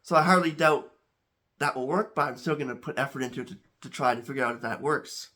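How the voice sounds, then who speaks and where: A man hosting a home chemistry channel talks casually to camera, a little congested, halting with many restarts and repeated words. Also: medium pitch, sharp tone.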